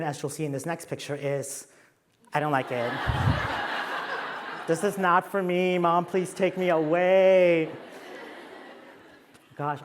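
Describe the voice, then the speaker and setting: A man's voice: a little high, background noise. Playful Mexican-accented man presenting. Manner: playful, self-deprecating asides. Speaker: conference presenter. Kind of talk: conference talk with slides.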